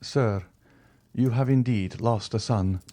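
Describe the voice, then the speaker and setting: A dignified count speaks with grave, measured calm in a low voice, as a male narrator reads him. The delivery is solemn and restrained, close to the reader's own tone.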